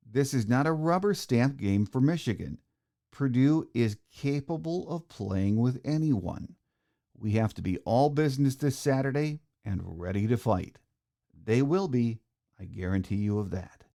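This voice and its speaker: A man's voice: medium pitch, lively intonation, unhurried, vocal fry, warm tone. A middle-aged man hosting a sports podcast, delivering a scripted monologue. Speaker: podcast host.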